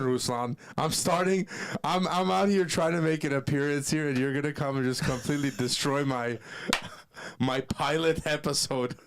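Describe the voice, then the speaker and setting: A man's voice: mid-range voice, no room sound. A man co-hosting a livestream, joking and teasing in casual chat. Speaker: co-host.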